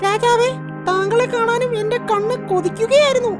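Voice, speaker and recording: A performer, a man, voicing a small, friendly, loyal male mouse in an animated children's story. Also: very high-pitched, normal speed. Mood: affectionate. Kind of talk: animated children's fairy tale dialogue. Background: loud children's music.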